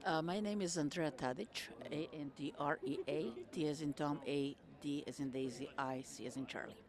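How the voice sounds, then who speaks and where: Woman from Croatia, measured speech. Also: deep voice, a little mellow. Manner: measured, frequent ums and uhs. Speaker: interviewee, retired federal worker. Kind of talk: conversational interview answers. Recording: crowd chatter behind. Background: crowd chatter.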